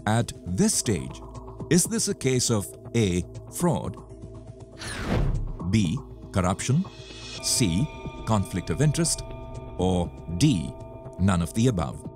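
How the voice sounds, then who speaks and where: Low-voiced male quiz host, animated delivery. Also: slow pace, pauses often, over music. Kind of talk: scripted quiz show presenting.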